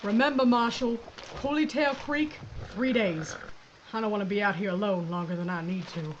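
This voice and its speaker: A woman's voice: mid-range voice, moderate pace, a little hiss or hum, close to an everyday voice. A woman playing a tough Old woman sheriff. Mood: determined. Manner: dry, blunt, folksy. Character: tough gun-toting woman sheriff, blunt and stubborn. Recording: dull lo-fi audio.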